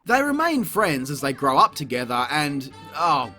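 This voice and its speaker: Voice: mid-range voice, sing-song, normal speed, sharp tone, soft background music. A reviewer giving scripted comedic narration in a sarcastic, exasperated, sweary and theatrical manner. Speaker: YouTube video reviewer.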